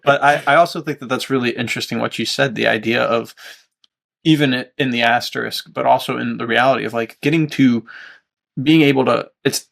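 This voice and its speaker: A man co-hosting a podcast in casual chat, haltingly thinking aloud. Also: mid-range voice, fairly even intonation, creaky, a bit bright. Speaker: podcast co-host.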